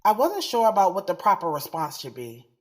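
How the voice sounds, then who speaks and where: A woman's voice: mid-range voice, very animated, brisk, background noise, lightly acted. A woman playing a guarded, frank young professional who confides her story in slangy first-person audio drama narration. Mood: moved, stunned.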